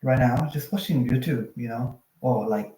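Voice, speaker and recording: A man's voice: medium pitch, normal speed. A man, chatting casually over a roomy video call. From Thailand. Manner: relaxed, chatty, many fillers like "like" and "you know". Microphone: phone on a video call, no external mic.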